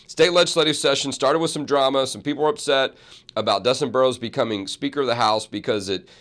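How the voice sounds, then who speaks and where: A man, hoarse from a cold, hosting a talk show and rambling through asides and self-corrections. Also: mid-range voice, fairly even intonation, fast talker, fairly bright tone. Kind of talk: casual talk show chat and monologue.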